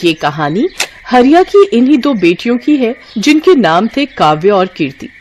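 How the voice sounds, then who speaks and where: A woman's voice: a little high, very animated, moderate pace, a little mellow, slight background noise. A woman narrating a scripted story as a storyteller.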